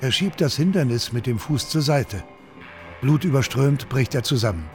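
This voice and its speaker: A man's voice: mid-range voice, lively intonation, normal speed, darkish tone, soft background music. A man giving sober, factual scripted narration for a true-crime radio documentary.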